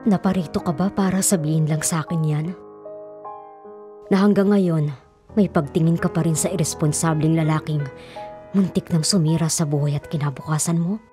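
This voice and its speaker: A woman's voice: low voice, steady tone, moderate pace, mellow, faint music behind, close to an everyday voice. A woman playing a reproachful older mother of a married daughter in a radio drama. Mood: disapproving. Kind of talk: Filipino radio drama acting.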